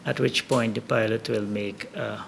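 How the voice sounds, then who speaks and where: A man's voice: lowish voice, steady tone, moderate pace, creaky, fairly bright tone. A man explaining operations in an interview as an official. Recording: noisy location interview.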